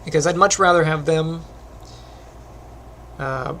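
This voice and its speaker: Phlegmy, gravelly man teaching animation. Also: on the high side, slow pace, slight background noise. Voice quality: phlegmy, clears throat often. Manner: relaxed, rambling, many ums. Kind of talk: casual screen-recorded tutorial chat.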